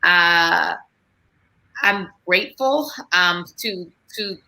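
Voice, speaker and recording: A woman speaking earnestly as a guest on a video call, halting often with fillers. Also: fairly low pitch, creaky, sharp tone, low-quality recording. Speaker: guest psychiatrist. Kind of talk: emotional video call talk.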